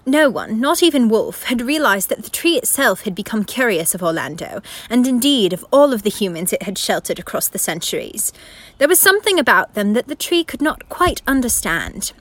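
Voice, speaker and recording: A woman narrating a radio play as a formal, philosophical storyteller with a measured, lecturing manner. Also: a little high, big pitch swings, dry recording. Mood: lyrical, calm. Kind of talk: scripted literary narration.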